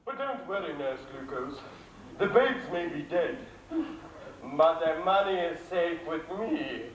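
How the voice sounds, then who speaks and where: A man's voice: a little high, lively intonation, a little slow. A man playing a sneering pantomime villain. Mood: scheming. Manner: mocking, boastful, wheedling. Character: sneering scheming wicked uncle villain, adult man. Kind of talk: amateur stage pantomime acting. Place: theatre stage. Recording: roomy theatre sound from far off.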